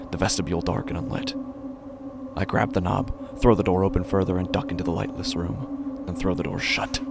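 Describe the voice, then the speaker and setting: A deep, creaky-voiced male actor as an uneasy city dweller tells a horror story in the first person. He speaks in hushed, measured phrases, placing each one with care, as in an audio fiction podcast. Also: heavy background noise. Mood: uneasy, suspenseful. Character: uneasy city dweller recounting a creepy homecoming.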